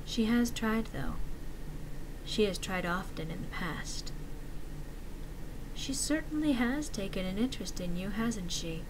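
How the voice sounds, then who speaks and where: A woman giving a deliberate, ominous dramatic reading as a horror story narrator. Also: medium pitch, lively intonation, background noise.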